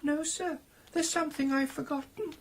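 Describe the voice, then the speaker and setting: A man voicing a timid, flustered young male ghost in a radio play, halting, meek and deferential. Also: extremely high pitch, steady tone, a little hiss or hum, pitched above the performer's own voice. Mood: flustered, abashed.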